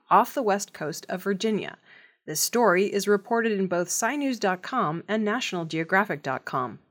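A woman reads scripted news as a presenter in a measured, formal, clearly enunciated way. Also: medium pitch.

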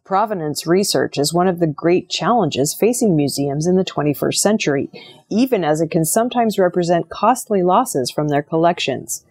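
A woman's voice: fairly low pitch, lively intonation, normal speed, darkish tone, husky. A woman reading scripted news stories as a news presenter. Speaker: news reader.

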